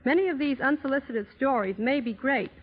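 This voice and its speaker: Old 1930s radio interview lady, formal scripted talk, bit high, muffled and echoey. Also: fairly quick, breathy edge. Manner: formal, articulate. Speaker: interview guest. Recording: muffled old radio recording, long reverb.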